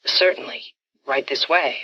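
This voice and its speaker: An actress playing a coy, wealthy, scheming widow, languid and theatrical, in an old-time radio detective drama. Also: female voice, sits low, measured pace, bright, forceful tone, no room sound, heavily acted. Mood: coy, sultry.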